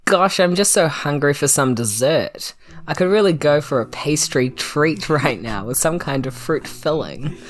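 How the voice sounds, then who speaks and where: A woman's voice: deep voice, expressive, measured pace. A woman with a New Zealand accent bantering casually as a player in a tabletop game, with a wry, joking manner.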